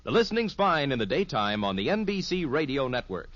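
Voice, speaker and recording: A man's voice: mid-range voice, sing-song, fairly quick. A man with an American accent announcing in a scripted 1950s radio style, polished and emphatic. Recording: dull vintage radio recording. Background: music.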